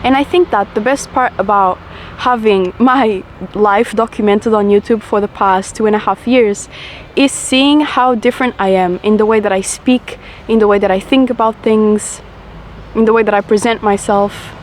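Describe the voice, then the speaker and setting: A young woman in her twenties with a naturally low voice talks in a relaxed, rambling, confessional way while smoking a cigarette. Her pitch sits mid-range, with faint traffic noise outdoors behind her. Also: moderate pace. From Portugal. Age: 20s. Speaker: vlogger. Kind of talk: casual chat to camera.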